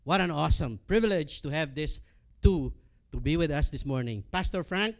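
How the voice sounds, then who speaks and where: A man's voice: a little high, expressive, normal speed. A man acting as a church host, giving a formal introduction of a guest speaker.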